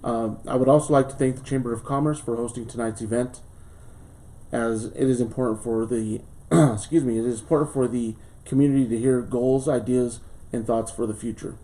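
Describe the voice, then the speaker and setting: A man in his late thirties, a school board candidate from southern Colorado, reading a formal speech and answering questions earnestly, with pauses and fillers, in a small studio. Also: medium pitch, steady tone, mellow. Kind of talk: prepared campaign speech and interview answers.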